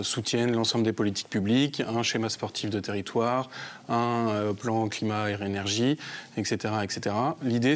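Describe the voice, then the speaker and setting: A man giving explanatory interview answers as a local government representative, measured and methodical with hesitant phrasing. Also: fairly low pitch.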